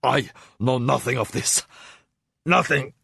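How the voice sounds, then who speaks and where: A man voicing a terrified but stubborn male alchemist who is held prisoner, his voice high, strained and panicky. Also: slow, gravelly, sharp tone, slightly rough, no room sound, studio quality, heavily acted. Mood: fearful denial.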